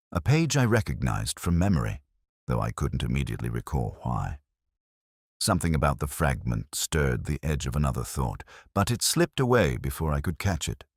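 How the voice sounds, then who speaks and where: Guy doing a brooding old police inspector telling his case, super deep voice, dry close sound, measured literary delivery. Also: no room sound, heavily acted. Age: older. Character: ageing, melancholy, observant male police inspector. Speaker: narrator. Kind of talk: first-person scripted detective story narration.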